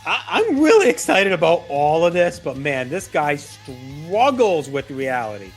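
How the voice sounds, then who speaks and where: A man acts out an exasperated crew member in an audio play. He grumbles with sarcasm, pitch leaping about, over faint music. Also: huge pitch swings, unhurried. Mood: weary disbelief. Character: exasperated, grumbling male wrestling crew member wanting credit.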